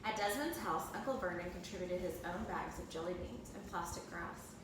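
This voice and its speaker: A woman's voice: fairly low pitch, moderate pace. Woman reading a storybook in an echoey room. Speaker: second grade teacher reading aloud. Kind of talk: read-aloud storybook narration for children. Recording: echoey room, distant mic, clear background noise.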